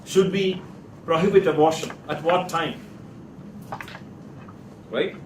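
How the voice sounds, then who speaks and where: Echoey, distant male teacher, deliberate and questioning. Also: a little high, fairly even intonation. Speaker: teacher leading a workshop class. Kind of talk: classroom teaching with rhetorical questions. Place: classroom. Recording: echoey, noisy room, distant mic.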